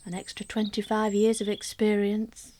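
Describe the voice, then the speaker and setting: A woman acting a wistful married mother in a gentle radio monologue, reflective and intimate, sometimes sinking towards a whisper. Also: medium pitch, completely flat delivery, lightly acted. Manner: reflective, measured, intimate, dropping to near-whisper. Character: wistful married mother narrating her private longings. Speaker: actor in a solo radio play.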